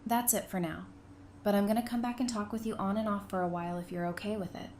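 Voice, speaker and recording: A woman's voice: medium pitch, fairly even intonation, quick pace, darkish tone, a little hiss or hum, natural voice. A woman playing a guarded but compassionate survivor in an audio drama, speaking in a dry, blunt way. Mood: dry, challenging.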